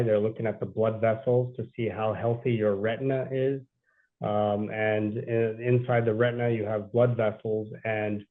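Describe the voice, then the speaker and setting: A man's voice: mid-range voice, narrow, tinny audio. A man speaking as a surgeon on a health panel, explaining carefully with frequent "uh"s. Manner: measured explanation with fillers. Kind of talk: medical panel Q&A.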